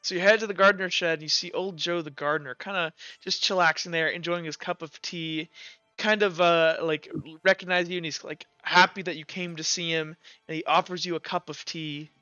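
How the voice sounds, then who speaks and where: A man working as game master, improvising tabletop roleplay narration in informal, halting pieces. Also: on the high side, fairly even intonation, bright, forceful tone, clear, resonant tone, no echo. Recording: slightly dull audio, music underneath. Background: music.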